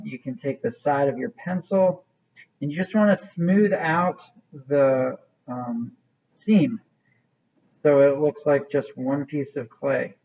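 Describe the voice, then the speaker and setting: Higher-voiced male art teacher, muffled recording. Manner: informal, thinking aloud as he works. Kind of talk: hands-on demonstration, casual instruction.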